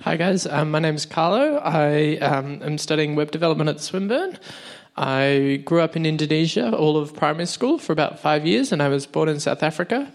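A young man with a slightly high voice speaks on a church panel. He introduces himself in a relaxed, friendly way. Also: moderate pace.

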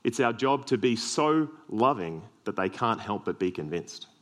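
Man, Australian accent, deep voice, low pitch, earnest sermon, self-deprecating humour. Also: lively intonation, moderate pace, fairly bright tone. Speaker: preacher.

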